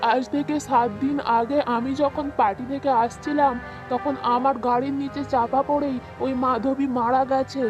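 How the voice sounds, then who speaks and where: An agitated young cartoon man played by a woman in a high voice. The delivery is urgent and dramatic, as in a children's ghost story, with music behind it. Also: flat delivery, normal speed, dull audio, natural voice. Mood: worried.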